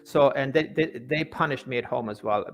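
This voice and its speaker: A man from Afghanistan, a native Persian speaker, telling his story as an interview guest in an earnest way, often repeating words as he searches for the next. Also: mid-range voice. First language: Persian (Farsi). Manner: halting, stammering repetitions of words.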